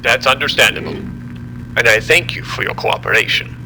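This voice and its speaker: Man acting a formal scientist over loud rumbling. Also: moderate pace, gravelly, noisy background, lightly acted. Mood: apologetic, formal. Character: apologetic, condescending male scientist explaining a disaster. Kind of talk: audio drama acting.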